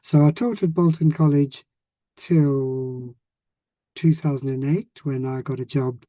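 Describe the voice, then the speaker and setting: A man in his sixties with a South African accent, a retired language teacher talking casually to former students, often pausing with ums and restarting sentences. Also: medium pitch, steady tone, dark tone, no echo. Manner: hesitant, many ums and restarts.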